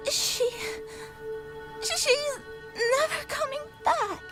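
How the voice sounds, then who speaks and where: A very high-pitched little girl. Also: over loud music, exaggerated voice. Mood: tearful. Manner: halting, plaintive. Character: small girl, stubborn, grieving for her big sister. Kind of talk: scripted game dialogue.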